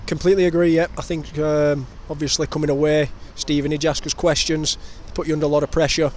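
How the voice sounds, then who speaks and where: A man in his thirties, a professional footballer giving measured answers in a post-match interview. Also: a little high, level tone. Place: outdoors outside a stadium shop. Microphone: handheld interview microphone. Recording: noisy outdoor street recording.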